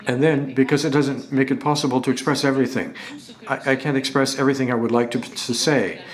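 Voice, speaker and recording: Guy doing live interpretation of a talk, middle pitch, stops and restarts a lot, repeats words. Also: steady tone, fast.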